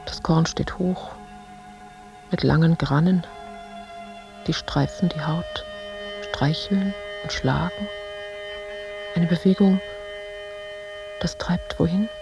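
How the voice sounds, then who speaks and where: A woman's voice: on the low side, steady tone, slow pace, creaky, long pauses, over music. A woman playing a melancholy, dreamy, independent young woman in an introspective, poetic radio play monologue. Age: young adult.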